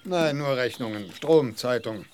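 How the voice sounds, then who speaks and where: A man's voice: medium pitch, a little slow, darkish tone, slight background noise, close to an everyday voice. A man playing a grumpy, dry-witted middle-aged father in a children's audio drama. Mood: indifferent.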